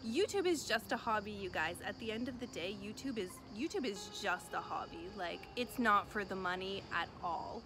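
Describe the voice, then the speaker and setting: A woman's voice: medium pitch, expressive, normal speed, hardly any pauses, over music. A thirty-year-old American woman chatting casually to camera as she answers viewer questions, informal and rambling with emphatic repetition. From United States. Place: outdoor rooftop balcony above a city.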